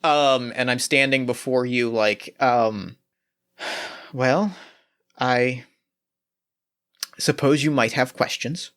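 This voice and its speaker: A man voicing a calm, coldly logical male android pilot who speaks in a measured, hesitant, matter-of-fact way. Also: medium pitch. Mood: calm, detached.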